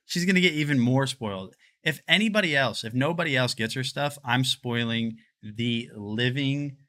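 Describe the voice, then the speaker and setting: Chatty young man with a bright voice. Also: medium pitch. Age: 20s. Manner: rambling, chatty, addresses chat. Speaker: livestream host. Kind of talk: casual livestream chat. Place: home, walking through rooms.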